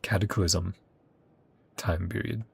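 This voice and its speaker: A woman's voice: a bass voice, fairly even intonation, slow pace, gravelly, noisy background. A young adult woman streamer giving chatty reaction commentary, humming along and reading lines aloud. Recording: game music playing behind.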